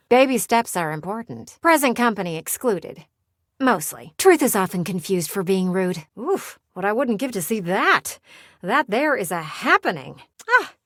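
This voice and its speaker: An actress voicing a playful, sardonic living window in a video game, with a teasing, theatrical and blunt delivery. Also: female voice, mid-range voice, dry recording, studio quality, natural voice. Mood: excited, chatty. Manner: teasing, theatrical, blunt, sighs and drawn-out words. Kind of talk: video game character voice acting.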